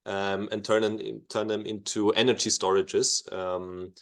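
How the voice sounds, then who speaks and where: A man with a lowish voice explains something to a class in a relaxed way. He thinks aloud as he talks, with small pauses. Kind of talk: informal explanation.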